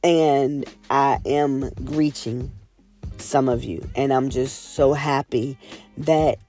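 Low raspy woman host, hoarse from a cold, earnest and swears casually, faint music under her. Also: steady tone, slow, dark tone. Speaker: podcast host. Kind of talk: casual solo podcast monologue.

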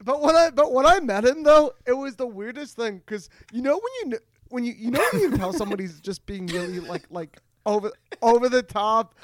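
A man co-hosting a podcast in casual comedic chat, animated, with stammering restarts and bits of mimicry. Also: extremely high pitch, a little fast, no echo. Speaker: podcast co-host.

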